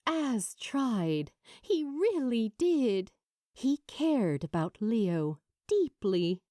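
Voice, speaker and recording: A woman narrating fiction from a script in a measured, expressive storytelling manner. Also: a little high, no room sound. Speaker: amateur audiobook narrator.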